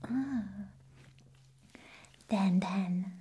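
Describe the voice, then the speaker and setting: Woman playing a slow, whispering, eccentric vampire nurse. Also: mid-range voice, dark tone, heavily acted. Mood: sly, teasing. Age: young adult. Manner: breathy whispering, halting, giggly. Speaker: ASMR roleplay performer. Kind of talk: whispered ASMR roleplay. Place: small room set as a clinic. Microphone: close microphone.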